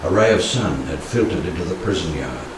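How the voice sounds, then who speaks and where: A man in his sixties with a fairly low voice reads verse aloud in a solemn, measured way. He leaves dramatic pauses between phrases. The sound is heavily reverberant and distant, with a faint layer of noise. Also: animated. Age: 60s. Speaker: poetry reader. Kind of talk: solemn poetry recitation. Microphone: handheld stage microphone.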